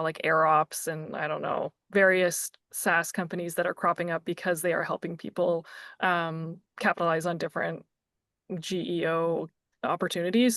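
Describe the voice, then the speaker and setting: A woman on a marketing panel, joking and a bit halting, with frequent restarts. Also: fairly low pitch, fairly bright tone, no room sound, studio quality. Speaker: social media marketer, panelist. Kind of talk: casual panel discussion.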